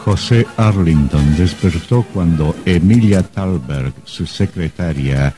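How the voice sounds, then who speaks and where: A sober male storyteller with a low, dark voice. He narrates an old supernatural radio play in measured, literary phrasing. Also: soft background music. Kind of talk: scripted radio drama narration.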